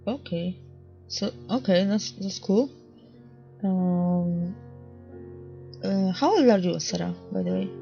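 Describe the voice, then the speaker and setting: Woman hosting a chat, gravelly voice, kinda dull lo-fi call audio, hunts for her english words. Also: mid-range voice, slow, long pauses, warm tone, faint music behind. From Poland. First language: Polish. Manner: hesitant, searching for English words. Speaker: podcast host. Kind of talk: casual online group chat.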